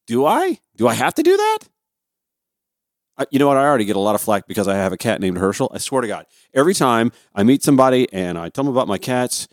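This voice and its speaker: A man's voice: mid-range voice, no echo. American male talk radio host, dry sound. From Georgia, United States. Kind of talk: conversational opinion talk radio monologue.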